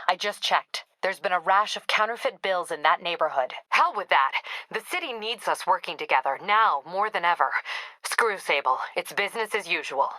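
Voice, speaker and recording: Game role, a no-nonsense female police captain: forceful, dry-humored, clipped delivery, very close and dry recording. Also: on the low side, dry recording. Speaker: video game voice actor. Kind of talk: dramatic video game dialogue.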